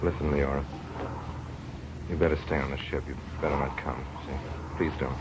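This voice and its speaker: An actor playing an earnest, hesitant young doctor and husband in an old radio drama. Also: male voice, a bass voice, fairly even intonation, moderate pace, noisy background. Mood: urging. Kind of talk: 1930s radio drama acting and narration. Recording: muffled old radio recording with music.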